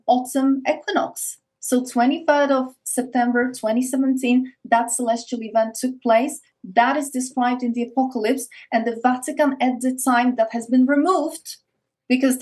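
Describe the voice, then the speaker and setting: Eastern European woman, earnest and halting. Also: fairly high pitch, a little mellow. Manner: earnest, frequent restarts and repeated words. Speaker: podcast guest. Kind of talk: casual interview talk. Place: Arctic north of Norway.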